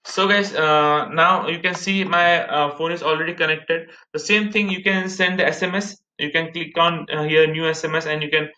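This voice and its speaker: A man's voice: fairly high pitch, steady tone, moderate pace, hardly any pauses, sharp tone. A man in his thirties with an Indian accent, presenting a casual step-by-step screen-share tutorial in a repetitive way. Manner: repetitive, step-by-step explaining, addressing viewers as guys. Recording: muffled, echoey room recording.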